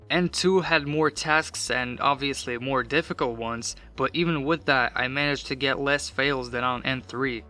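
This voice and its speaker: Bright-voiced man narrating a vlog. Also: fairly high pitch. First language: not English. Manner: casual, matter-of-fact, self-deprecating asides. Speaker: vlogger. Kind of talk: scripted voice-over narration.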